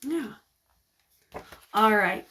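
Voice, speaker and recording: A woman reading a picture book aloud like a teacher, expressive and encouraging, pausing to put questions to young listeners. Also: mid-range voice.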